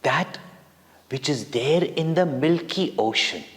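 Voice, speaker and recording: Man, children's myth storyteller, deliberate and unhurried, very expressive intonation, recorded with long reverb. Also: fairly high pitch. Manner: deliberate, dramatic pauses, questions to listeners. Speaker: spiritual teacher and children's storyteller.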